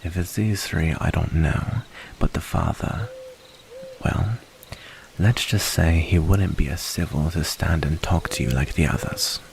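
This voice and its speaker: Man acting a gravelly, very deep wary werewolf. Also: a little slow, slight background noise, full character voice. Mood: confiding, ominous. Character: male werewolf from the woods, wary, earnest, protective. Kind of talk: ASMR roleplay acting.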